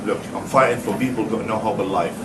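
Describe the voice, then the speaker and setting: A man's voice: fairly low pitch, steady tone, quick pace, over a noisy crowd. A man, a boxer at a press conference, talking heatedly and mockingly, repeating himself. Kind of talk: press conference trash talk. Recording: echoey room, far mic, noisy.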